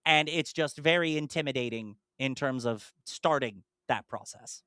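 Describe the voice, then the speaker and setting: A man co-hosting a casual podcast, rambling with lots of fillers and restarts, slipping into animated, mock-exasperated asides. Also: mid-range voice, moderate pace, no echo, clean studio sound. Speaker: podcast co-host and streamer.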